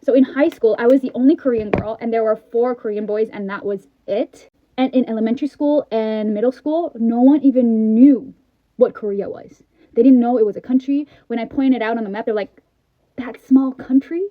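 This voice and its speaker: High-voiced American woman, tinny phone-like audio. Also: normal speed, no room sound. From California, USA. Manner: incredulous, emphatic, repetitive. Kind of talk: casual direct-to-camera reaction.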